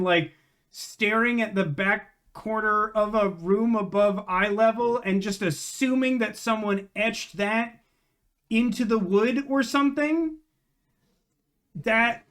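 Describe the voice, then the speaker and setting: A man's voice: sits high, fairly bright tone. A man chatting casually on a live game stream, rambling and emphatic, with slangy phrasing.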